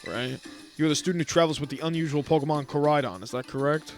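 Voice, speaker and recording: A man's voice: a little high, faint music behind, lightly acted. A man reading aloud the lines of a courteous, formal male academy director in a video game. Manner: courteous and measured.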